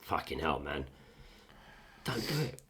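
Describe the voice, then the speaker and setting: A man co-hosting a casual podcast from a home kitchen, reading out stories and chatting, animated and sweary. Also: extremely low pitch, unhurried, slight background noise. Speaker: podcast co-host.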